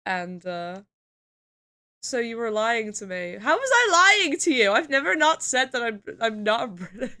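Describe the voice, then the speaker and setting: A woman's voice: on the high side, very animated, a little slow, pushed and bright. A young woman from the UK chatting casually on a stream, reading chat aloud, laughing often and swearing. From United Kingdom.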